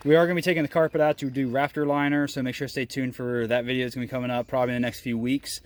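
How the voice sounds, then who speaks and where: A man's voice: medium pitch, little pitch movement, very fast. A man hosting a casual how-to video, explaining step by step as he demonstrates. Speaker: host of a DIY vehicle video.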